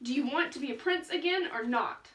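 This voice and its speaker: Woman as a bossy, bright-voiced math wizard. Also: sits high, animated. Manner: brisk, instructive, impatient. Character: bossy, bargaining math wizard who teaches. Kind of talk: student puppet show.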